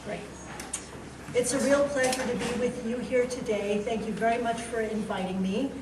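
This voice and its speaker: A woman author, born in Iran and raised in the San Francisco area, tells students stories from her life in a calm, measured way. She is picked up from a distance in a roomy school library, with a light background hiss. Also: fairly high pitch. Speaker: author giving a talk. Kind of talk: public talk to students.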